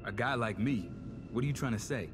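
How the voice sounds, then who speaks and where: Man acting a wry game tech operative, mid-pitched voice, savvy, self-interested, casual slang, music underneath. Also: brisk, lightly acted. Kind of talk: video game cutscene acting.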